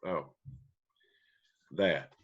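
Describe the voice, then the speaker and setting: A man's voice: very deep voice, drawn-out words, a bit bright, breathy edge, lo-fi sound, heavily acted. A man reading an easygoing, teasing country band singer with folksy phrasing in a screenplay table read. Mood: wry.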